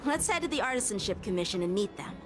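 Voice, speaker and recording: An actress playing a courteous, composed young guard in a video game, speaking in a measured way. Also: female voice, medium pitch, big pitch swings, natural voice. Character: polite, composed young guard on official duty. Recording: game audio over noisy ambience.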